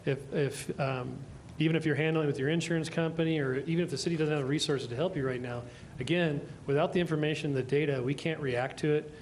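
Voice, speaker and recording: Higher-voiced city official. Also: male voice, fairly even intonation, quick pace, hardly any pauses, darkish tone, a little hiss or hum. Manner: reassuring, explanatory. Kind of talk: answering questions at a public meeting.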